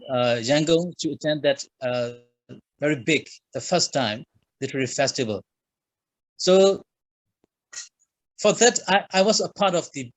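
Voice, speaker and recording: Halting Burmese-accented man, dry sound. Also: a little high, no echo, poor audio. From Myanmar. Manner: halting, frequent uh fillers, simple phrasing. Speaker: guest author. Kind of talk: podcast interview answers.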